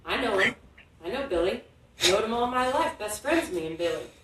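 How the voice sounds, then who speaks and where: A man's voice: sits high, animated, moderate pace, voice pushed up. A woman voicing a guarded, loyal rural man with folksy, ungrammatical speech in a story read aloud. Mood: earnest, reminiscing. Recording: echoey hall, distant, faint noise.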